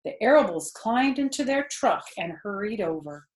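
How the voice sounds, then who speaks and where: Older female storyteller: expressive children's read-aloud, deliberate phrasing, mid-pitched, roomy sound. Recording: echoey room.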